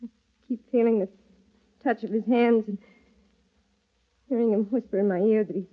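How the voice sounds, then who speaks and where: An actress playing a fragile, guilt-haunted young wife, pleading and melodramatic in old radio horror style. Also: female voice, fairly high pitch, fairly even intonation, normal speed, lightly acted. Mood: shaken. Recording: muffled old radio recording.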